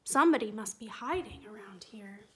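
A woman's voice: mid-range voice, animated, moderate pace, fairly bright tone, voice pushed down, close to an everyday voice. A woman voicing a puzzled male night watchman who exclaims in surprise.

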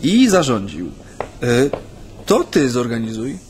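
A man's voice: medium pitch, sing-song, darkish tone, a little hiss or hum. Radio play role: chatty Japanese man gone Polish, middle register, natural and hesitant delivery. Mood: earnest, reminiscing. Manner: hesitant, halting, with many hems and repetitions. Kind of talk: naturalistic radio drama dialogue.

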